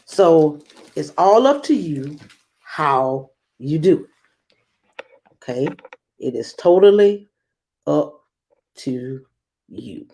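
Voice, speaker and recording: A woman guiding young listeners through a read-aloud lesson, instructive and speaking straight to them with emphatic pauses. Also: low voice, very animated, dark tone. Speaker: guest reader and read-aloud host for children.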